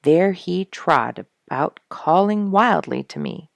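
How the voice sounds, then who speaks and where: A woman with a low, slightly creaky voice reads a story aloud. Her delivery is expressive and dramatic, like storybook narration, and the sound is close and dry. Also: takes it slowly, dry recording. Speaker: storyteller reading a book chapter aloud.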